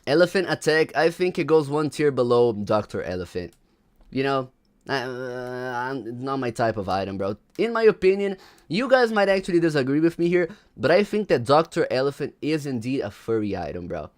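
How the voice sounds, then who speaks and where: Animated young streamer, lively pitch. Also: male voice, moderate pace. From Brazil. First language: Portuguese. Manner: animated, slangy, chats with viewers. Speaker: livestream host. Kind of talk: casual livestream commentary.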